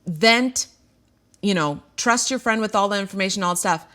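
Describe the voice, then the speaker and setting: A woman's voice: mid-range voice, moderate pace, sharp tone. Earnest woman sharing a candid personal story. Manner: earnest and emotional, candid, with frequent fillers. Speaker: show host. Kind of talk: candid personal talk to viewers.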